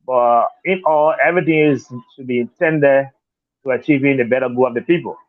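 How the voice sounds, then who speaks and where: A man's voice: mid-range voice, brisk, no room sound. A man with a Liberian accent answering interview questions as a political candidate, earnest and repetitive, often saying "you know". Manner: earnest, repeats words, leans on "you know". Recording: tinny dry phone line.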